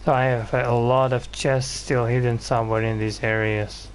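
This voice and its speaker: A man's voice: mid-range voice, level tone, moderate pace, slight background noise, rough recording. A man giving casual, rambling gameplay commentary as he plays. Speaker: gaming video host. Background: video game music.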